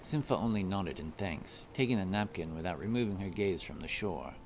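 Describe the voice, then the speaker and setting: A man narrating a scripted fantasy story in a measured, deliberate storytelling manner. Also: low voice, animated, darkish tone, a little hiss or hum.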